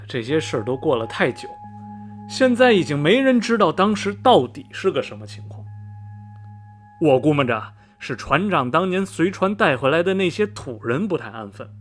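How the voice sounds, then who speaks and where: An actor playing a gossipy middle-aged small-town man in a radio drama, skeptical yet repelled, sighing and drawing out his phrases dramatically. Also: a little high. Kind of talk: radio drama acting, animated storytelling.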